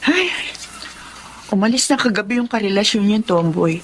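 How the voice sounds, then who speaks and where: A woman's voice: medium pitch, animated, moderate pace, close to an everyday voice. An actress playing a gossipy, resigned married neighbour and mother in a Filipino radio drama, with faint ambient noise.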